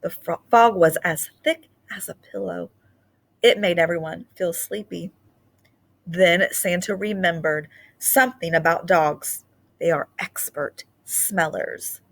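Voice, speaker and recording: A woman reads a children's picture book aloud as a storyteller, with animated delivery and drawn-out emphasis on key words. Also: lowish voice, creaky.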